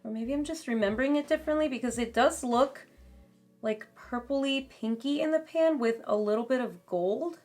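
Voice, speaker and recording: A young woman with a slightly raspy voice, chatting casually as she tries on and reviews makeup at home. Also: sits high, normal speed, darkish tone. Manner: chatty, casual commentary while applying makeup. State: raspy voice from talking too much. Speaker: beauty vlogger. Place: home beauty room, indoors.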